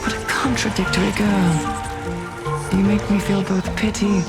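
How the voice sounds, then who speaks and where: A woman's voice: medium pitch, flat delivery, normal speed, natural voice. A woman voicing a serene, menacing illusionist villainess in an anime game, solemn and condescending. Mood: serene, pitying. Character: serene, menacing female illusionist offering false bliss. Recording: loud music bed throughout. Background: loud music.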